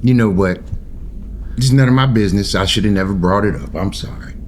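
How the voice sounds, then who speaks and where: An actor in a table read playing a friendly, plain-spoken truck driver who talks in a casual, halting way. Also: male voice, fairly low pitch, a little mellow, slight background noise, lightly acted. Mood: concerned, apologetic.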